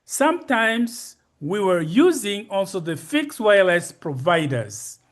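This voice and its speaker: An older man in his sixties or beyond with a Congolese accent, giving a slide presentation in a deliberate, explanatory manner and repeating key phrases for emphasis. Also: high voice, big pitch swings. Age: 60s or older. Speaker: conference presenter.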